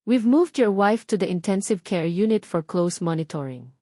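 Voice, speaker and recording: A serious Filipino hospital doctor, acted by a woman narrator, speaking in formal English. The delivery is calm, measured and professional, as in an audiobook. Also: medium pitch, no room sound, clean studio sound, lightly acted. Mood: calm, grave.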